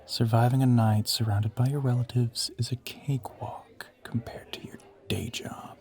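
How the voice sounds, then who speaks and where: A man's voice: mid-range voice, steady tone, moderate pace, a little mellow, a faint crowd, natural voice. A man playing an ancient, teasing vampire who poses as a human boyfriend in an audio roleplay, drawling and often slipping toward a whisper. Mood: smug teasing.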